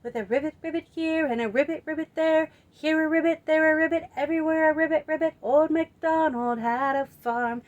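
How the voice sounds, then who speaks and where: A woman presenting a children's storytime, singing and telling stories in a playful, expressive way. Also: high-pitched, lo-fi sound. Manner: playful, expressive, drawn-out emphasis.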